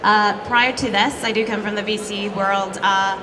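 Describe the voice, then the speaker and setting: Flat-toned woman, reverberant noisy hall. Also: medium pitch, moderate pace. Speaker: startup platform executive, panelist. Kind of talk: self-introduction at a panel. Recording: echoey hall, distant mic, noise.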